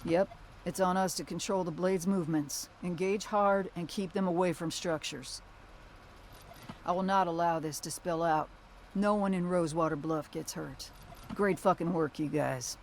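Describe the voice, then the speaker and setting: A woman's voice: on the low side, moderate pace, a little hiss or hum, natural voice. An actress playing a tough, resolute bounty hunter who leads her team in terse, plain-spoken game dialogue. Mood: resolute, businesslike. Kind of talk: video game dramatic dialogue.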